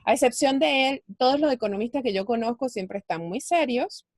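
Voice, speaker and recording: A woman's voice: on the high side, expressive, brisk, no echo. A woman from Venezuela, explaining her ideas reflectively in a conversational interview. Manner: explanatory, reflective, thinks aloud. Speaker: guest, finance speaker.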